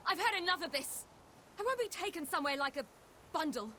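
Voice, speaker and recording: A woman's voice: very high voice, expressive, a little fast, sharp tone, slight background noise, full character voice. An actress playing a stubborn, frustrated young woman with magic powers in a fantasy game, headstrong and outspoken. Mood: angry, defiant. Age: young adult. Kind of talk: video game voice acting.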